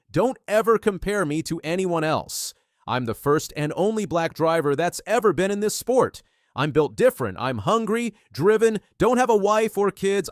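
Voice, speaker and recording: A man delivers scripted news narration. His voice sits a little high and moves through a very wide range of pitch. The sound is close and dry, with no room on it. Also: dry recording.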